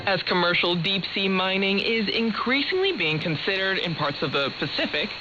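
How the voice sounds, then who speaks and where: A woman's voice: medium pitch, animated, moderate pace, over loud music. A woman presenting radio news, reading scripted introductions to reports. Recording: muffled shortwave radio with clear static.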